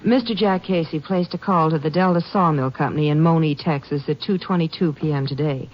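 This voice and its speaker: A woman's voice: sits low, fairly quick. A woman acting a young small-town telephone operator in an old radio drama, polite, precise and forthcoming, with an American accent. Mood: helpful. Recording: muffled vintage radio recording.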